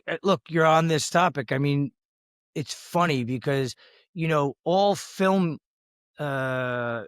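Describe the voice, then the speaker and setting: A man's voice: mid-range voice, no room sound, clean studio sound. A man co-hosting a casual talk podcast, rambling and often restarting and repeating his words. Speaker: podcast co-host. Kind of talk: casual chat.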